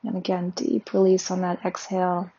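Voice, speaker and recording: A woman leading a guided meditation with slow, soothing, gentle instructions. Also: fairly low pitch, monotone, slightly rough, highs cut off.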